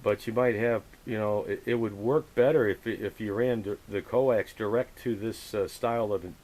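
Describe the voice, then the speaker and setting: A man operating a radio and hosting a contact, chatting casually and chummily in a halting, hesitant way. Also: on the low side, darkish tone. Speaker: amateur radio operator running the frequency. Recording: hissy, noisy radio recording. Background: radio static hiss.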